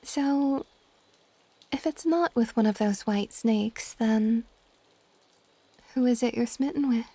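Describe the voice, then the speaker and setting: A woman plays an ancient female dragon goddess for a romantic ASMR roleplay. The voice is fairly high yet very mellow, soft and intimate, slipping into whispers. She sounds doting and gently possessive, in a close, very dry recording. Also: slow pace, long pauses, dry recording. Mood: gently probing.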